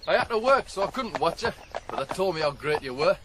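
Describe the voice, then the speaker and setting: A man's voice: high voice, expressive, brisk, background noise. A male actor playing a genial, chummy farmhand who taught a boy his trade, in a radio drama. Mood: chummy, teasing. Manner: chummy, bantering. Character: genial, teasing farmhand, the boy's teacher.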